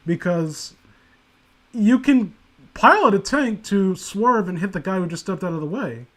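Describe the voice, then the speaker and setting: A man with a notably high voice hosts a casual group chat. He rants and jokes in animated banter, swearing freely as he goes. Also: a little slow. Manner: profane, joking, animated banter. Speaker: host of a story reading stream.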